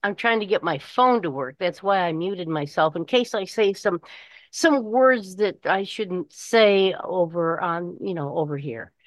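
An older woman with a Midwestern American accent, chatting casually and rambling in a halting way. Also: on the low side, no echo. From Michigan, United States. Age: 60s or older. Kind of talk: casual chat. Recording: dull lo-fi call audio.

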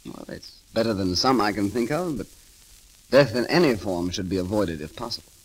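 An actor playing a witty, bold and romantic grand constable in an eloquent, theatrical 1930s radio style. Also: male voice, on the low side, lively intonation, normal speed, breathy edge, rough recording. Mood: tender, teasing.

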